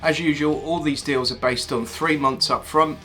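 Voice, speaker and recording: A man's voice: on the high side, fairly even intonation, normal speed, pushed and bright, soft background music. A British man hosting a car leasing channel, chatting casually and reeling off prices and specs while slightly stuffed up from a cold. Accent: British English. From United Kingdom. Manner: chatty, informal, reels off figures. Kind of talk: casual review monologue.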